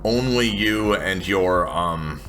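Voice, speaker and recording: A man voicing a guarded, hesitant woman who talks haltingly in a tabletop game. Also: deep voice, lively intonation, a bit bright, soft background music, exaggerated voice. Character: guarded, hesitant tech-savvy woman seeking help.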